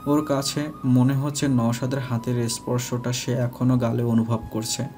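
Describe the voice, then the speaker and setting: Male romantic-story narrator, mid-pitched, warm and level delivery. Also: flat delivery, measured pace, soft background music.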